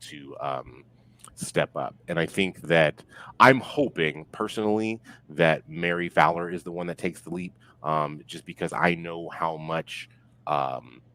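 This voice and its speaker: A man giving casual sports analysis as a podcast guest, halting often and leaning heavily on filler words. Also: low voice, sharp tone. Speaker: podcast guest, football pundit.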